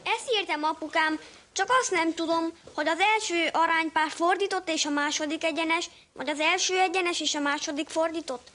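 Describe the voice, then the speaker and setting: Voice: very high voice, steady tone, bright, forceful tone. A performer playing an earnest, confused eleven-year-old schoolboy who recites hesitantly in a radio comedy sketch.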